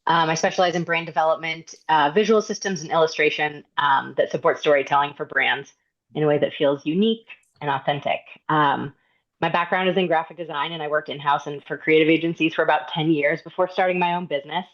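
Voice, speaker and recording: A woman's voice: low-pitched, steady tone. Earnest woman on dull call audio. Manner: earnest, frequent "um" pauses and restarts. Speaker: independent designer and illustrator. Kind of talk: prepared self-introduction. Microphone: video call.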